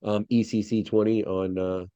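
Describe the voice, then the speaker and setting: A man's voice: lowish voice, flat delivery, moderate pace, dark tone, no room sound. Stuffed-up guy rambling on a podcast call, dull lo-fi sound, totally dry, swears a lot. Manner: rambling, swearing, lots of fillers and restarts. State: congested nose. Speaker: podcast co-host. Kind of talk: casual podcast chat. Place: home, recorded over video call. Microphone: video call.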